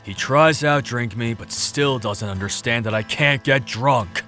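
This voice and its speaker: A man's voice: medium pitch, a little slow, bright, forceful tone, faint music behind. A man playing a weary, grumbling immortal in an audio drama, dry and sardonic. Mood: resigned. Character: weary, grumbling immortal man who can't get drunk.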